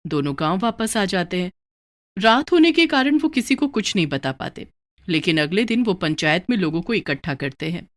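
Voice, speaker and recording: A woman's voice: lowish voice, expressive, moderate pace. A woman storyteller reading scripted narration for a children's moral tale.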